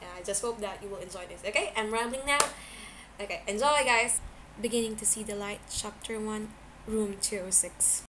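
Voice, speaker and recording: An amateur woman reader with an informal, slightly tentative way of talking. Her pitch is average for a woman, and there is faint noise on the home recording. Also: moderate pace. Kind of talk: casual introduction and fiction narration.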